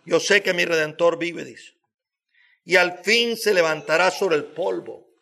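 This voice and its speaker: A man's voice: a little high, measured pace, creaky, bright, forceful tone. A man preaching a sermon in an emphatic, repetitive manner, with rhetorical questions and phrases.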